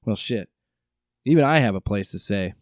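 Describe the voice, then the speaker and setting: Man playing a wry, animated agency director. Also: on the low side, moderate pace, dry recording, clean studio sound, lightly acted. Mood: weary, wry. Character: weary, gruff, sarcastic male agency director. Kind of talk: audio drama acting.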